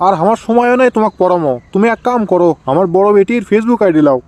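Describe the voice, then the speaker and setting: A man's voice: high-pitched, quick pace, darkish tone, dry recording, lightly acted. A man voicing a pious, fatherly village Quran teacher who corrects his pupil, in comic cartoon dialogue with a Bogura accent from northern Bangladesh. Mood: correcting. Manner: instructive, correcting.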